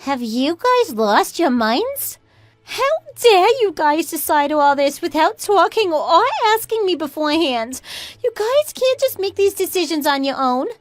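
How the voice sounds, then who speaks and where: A woman's voice: high-pitched, big pitch swings, measured pace, no echo, faint music behind. A woman playing an entitled, self-serving young married woman in a radio drama, with a dramatic, easily flustered delivery. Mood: outraged, protesting. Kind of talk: scripted radio drama acting.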